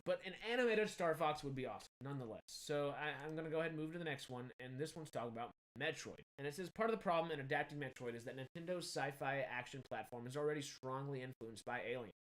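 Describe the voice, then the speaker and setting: A man in his thirties with a slight Texan accent, hosting casual film news commentary in an animated, rambling, emphatic way. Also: medium pitch, poor audio. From Texas. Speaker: film news show host.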